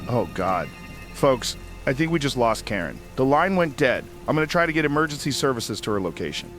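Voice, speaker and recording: A man playing a somber, shaken late-night radio call-in host, speaking gravely and earnestly. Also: medium pitch, sing-song, a little fast, a bit bright, faint music behind.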